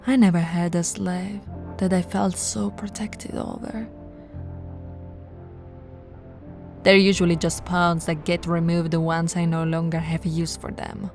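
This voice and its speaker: A woman voicing a possessive, seductive vampire royal in an ASMR roleplay, teasing and often slipping into breathy whispers. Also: fairly low pitch, little pitch movement, soft background music, lightly acted. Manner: slow, teasing, breathy whispering with soft laughs.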